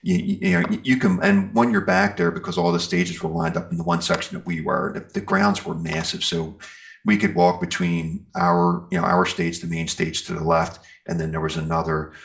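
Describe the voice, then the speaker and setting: A man with a very deep voice and a creaky, gravelly texture. He talks like a musician being interviewed, informal and rambling. His enthusiasm comes through as he recounts his experiences in casual language. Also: fairly bright tone. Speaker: guest, metal band singer and guitarist. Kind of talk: casual chat, telling band anecdotes.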